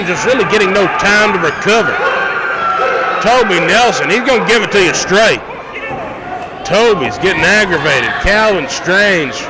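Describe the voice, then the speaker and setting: A man calling a live wrestling match play-by-play, excitable and dramatic, with colloquial catchphrases. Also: high voice. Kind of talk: live sports commentary. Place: indoor arena at a live wrestling event. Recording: loud crowd and noise in arena. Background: loud live crowd.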